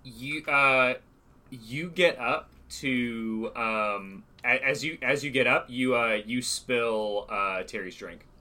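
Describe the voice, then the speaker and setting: A man's voice: mid-range voice, slow pace, bright, forceful tone. A man playing a tabletop roleplaying game, casually throwing in short, terse improvised interjections.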